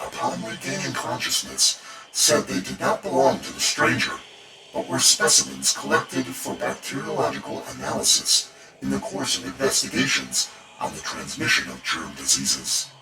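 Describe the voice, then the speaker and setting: A man reading a horror story in a theatrical, ominous way, with deliberate emphasis. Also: mid-range voice, sing-song, gravelly. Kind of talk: dramatic bedtime horror story narration. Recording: roomy echo, distant, music behind. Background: music bed.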